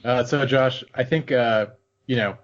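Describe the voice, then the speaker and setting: A man's voice: medium pitch, unhurried. A man chatting casually as a player in a tabletop roleplaying game, describing his moves, on a dull recording.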